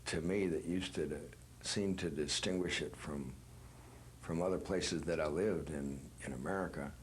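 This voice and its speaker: A man speaking slowly and deliberately in an interview. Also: extremely low pitch, a little hiss or hum. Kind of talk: reflective interview talk.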